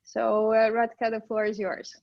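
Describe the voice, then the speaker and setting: A woman's voice: a little high, level tone, normal speed, gravelly. A woman hosting an online workshop, introducing the speaker with many hesitant fillers. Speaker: workshop host. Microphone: video call. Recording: dull, dry call audio.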